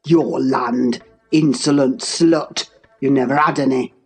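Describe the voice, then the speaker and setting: A woman's voice: extremely low pitch, steady tone, slow, slightly rough, soft background music, pitched below the performer's own voice, full character voice. A woman voicing a furious, domineering older man with a harsh, commanding manner in an audiobook. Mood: scornful, outraged. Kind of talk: dramatized audiobook reading.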